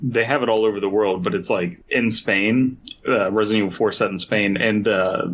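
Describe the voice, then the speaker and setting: A man's voice: on the low side, normal speed, fairly bright tone, lo-fi sound. A man co-hosting a podcast with casual, comedic banter. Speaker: podcast co-host.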